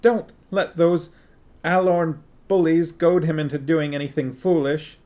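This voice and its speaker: A man's voice: on the high side, steady tone, unhurried, warm tone, pitched above the performer's own voice, close to an everyday voice. A man voicing a plump little queen who frets and pleads in a worried, sniffly way. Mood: fretful.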